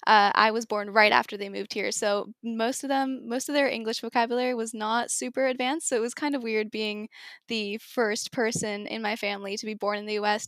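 Eager teenage girl, gravelly edge. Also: mid-range voice, a bit bright, no room sound. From Seattle, US. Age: teens. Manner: eager, quick, with frequent uh fillers. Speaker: guest, nonprofit founder. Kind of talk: casual interview answers.

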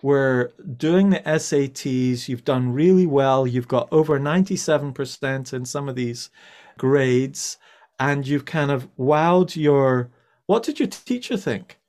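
A man's voice: mid-range voice, measured pace, a little mellow. Man hosting a podcast interview. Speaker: podcast host.

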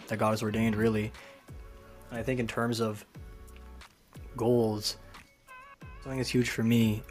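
An earnest, articulate man in his early twenties speaking as a podcast co-host. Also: on the low side.

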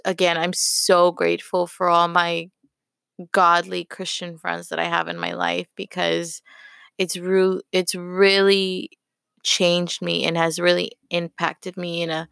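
A woman hosts her own podcast in a slow, earnest ramble full of fillers. Her voice sits a little low and is slightly hoarse, with a gravelly fry under a smooth tone. The recording is dry and close. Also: fairly bright tone, no room sound. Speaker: podcast host. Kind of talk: casual solo podcast talk.

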